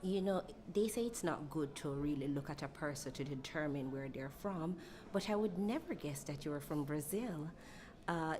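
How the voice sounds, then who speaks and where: Low-voiced woman asking long interview questions. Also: dark tone. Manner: probing, meandering questions. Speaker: student interviewer. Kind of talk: class interview.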